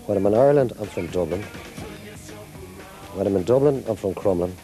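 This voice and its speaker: Dark-voiced man telling an anecdote. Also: fairly low pitch, lively intonation, fairly quick, faint music behind. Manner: anecdotal storytelling. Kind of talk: documentary interview.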